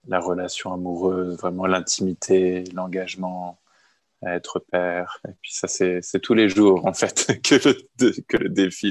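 A middle-aged man answering interview questions slowly and hesitantly, with touches of wry humor. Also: low-pitched, no room sound. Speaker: guest.

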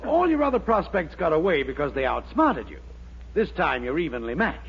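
A man playing a wily, flattering storekeeper uncle with gushing, drawn-out delivery in a 1940s radio sitcom. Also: a little high, creaky. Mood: scheming, amused. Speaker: comic actor. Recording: muffled, noisy 1940s radio recording.

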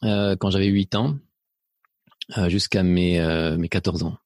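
Homme hésitant à la voix grave. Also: male voice, low voice, steady tone, a little slow, darkish tone, dry recording, clean studio sound. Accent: mixed French, slight Belgian. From Spain. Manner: hesitant, many fillers and restarts. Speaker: interview guest. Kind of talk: reflective personal interview.

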